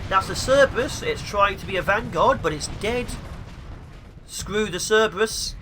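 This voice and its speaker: Excitable gamer with the flu. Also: male voice, high-pitched, normal speed, background noise. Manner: excitable, mutters at enemy ships. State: sniffly with flu, sore throat. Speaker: gaming YouTuber. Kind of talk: let's play commentary.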